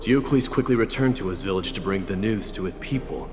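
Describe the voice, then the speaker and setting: Low-voiced solemn warrior, acted by a man, in a hall. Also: fairly quick, lightly acted. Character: grave, proud warrior man recounting an old legend. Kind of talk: dramatic video game storytelling. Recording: reverberant hall, music behind.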